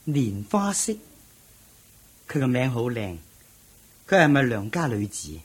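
An earnest monk disciple in a radio drama. He has a husky Hong Kong Cantonese voice with animated pitch, always inquisitive and respectful. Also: unhurried. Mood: curious. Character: earnest, curious male disciple of the Buddha.

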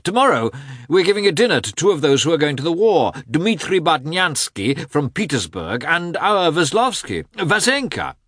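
Man playing a gushing gentleman, high and lively. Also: moderate pace, breathy edge, dry recording, studio quality, full character voice. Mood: breezy, chatty. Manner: exclamatory, gushing, breezy. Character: effusive, cheerful society gentleman, beaming and chatty. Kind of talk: audiobook character voice in a classic novel.

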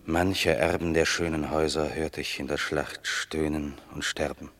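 An actor playing a proud, banished Roman war hero who declaims verse in a commanding, bitter manner in a radio play. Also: male voice, a bass voice, little pitch movement, normal speed, vocal fry, a bit bright, exaggerated voice. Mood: solemn farewell. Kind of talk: German radio drama, stage verse.

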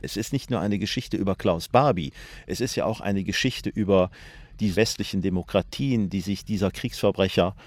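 Male journalist presenting a radio documentary: low voice, dry close sound, deliberate, pausing explanation. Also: a little mellow, no echo. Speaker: journalist and author presenting the programme.

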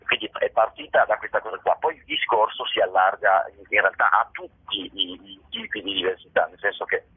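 Male interviewee, rather low husky voice, casual and searching, repeats words, telephone-quality audio. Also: brisk. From Italy, province of Vicenza. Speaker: actor and playwright being interviewed. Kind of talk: informal, spontaneous interview talk. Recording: muffled, narrow telephone-line sound.

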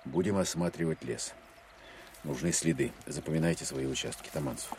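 Very deep-voiced stern army captain. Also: male voice, lively intonation, a little fast, vocal fry, background noise, full character voice. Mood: firm, commanding. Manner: curt, authoritative, matter-of-fact. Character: stern, weary male army captain leading a search team. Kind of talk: war audio drama, narration and orders.

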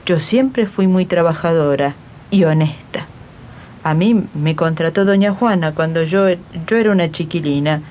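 A woman's voice: moderate pace, slight background noise, natural voice. A woman playing a proud, naive, ageing housemaid in a radio drama, with a homely manner and an Argentine accent. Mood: proud, indignant. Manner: dismissive, homely, indignant. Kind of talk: 1980s amateur radio drama acting. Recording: dull, rumbling archive radio tape.

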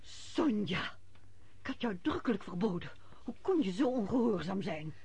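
Radio drama, middle-aged matriarch, strict and fretful, medium pitch, rough-edged husky tone. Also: female voice, very animated, moderate pace, darkish tone, no room sound, full character voice. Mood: stern.

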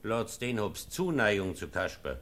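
An actor in a German radio play playing a stern, sardonic baron and guardian, speaking in a dry, skeptical, formal manner. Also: male voice, fairly low pitch, sing-song, measured pace, a bit bright. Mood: indignant, sardonic. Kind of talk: German radio drama acting.

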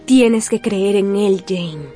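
A woman playing a spirited, proud young gentlewoman in a radio drama, earnest and self-possessed. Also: medium pitch, slow pace, soft background music, close to an everyday voice. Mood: firm, reassuring.

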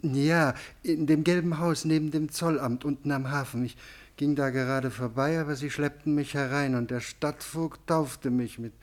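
A man's voice: fairly high pitch, fairly even intonation, moderate pace, close to an everyday voice. Meek, deferential little man, played by an actor. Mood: pained, humble. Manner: deferential, hesitant, apologetic. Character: meek, humble, downtrodden small townsman, anxious to please. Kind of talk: German radio play acting.

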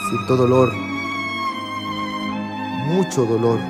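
A man's voice: mid-range voice, wildly swooping pitch, slow. Man acting a suffering captive over mournful music. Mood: anguished. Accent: Chilean. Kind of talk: amateur radio drama acting.

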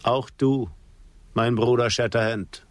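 An actor playing a proud, sorrowful Apache chief in a radio drama, with solemn, poetic delivery. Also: male voice, medium pitch, fairly even intonation, dry recording, lightly acted. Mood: grave, resolute. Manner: solemn, poetic, measured declamation. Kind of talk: German radio drama acting.

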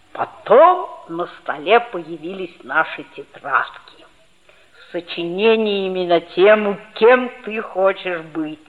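An actress playing an earnest, mischievous schoolboy who narrates a radio play in a mock-serious, expressive way. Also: female voice, a little high. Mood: mock solemn. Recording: tinny vintage 1960s radio recording.

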